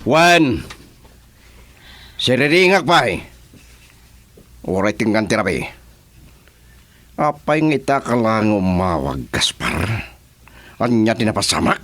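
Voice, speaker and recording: A man with an Ilocano accent playing a gruff, demanding crime boss in a radio drama. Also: fairly low pitch, very animated, takes it slowly, long pauses, fairly bright tone, full character voice. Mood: stern, demanding. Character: gruff male crime boss giving orders on the phone.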